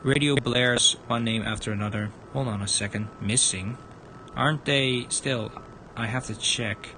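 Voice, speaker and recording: A man's voice: medium pitch, a little slow, slight background noise, rough recording. A man livestreaming a visual novel, reading a horror story aloud as dramatic narration and stumbling over words now and then. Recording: loud music over the voice. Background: loud music.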